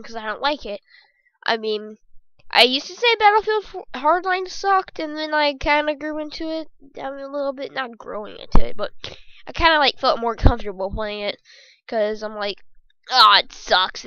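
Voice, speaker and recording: Young host, squeaky and bright, chatty and self-correcting; dry close bedroom sound, slightly dull audio. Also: female voice, smooth, no echo. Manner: rambling, jokey, self-correcting. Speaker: podcast host. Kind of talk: casual solo gaming podcast.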